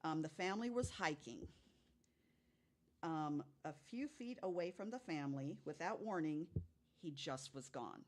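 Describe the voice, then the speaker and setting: A woman's voice: sits low, lively intonation, measured pace. A woman telling stories of unexplained cases in a casual way, as a guest on a podcast.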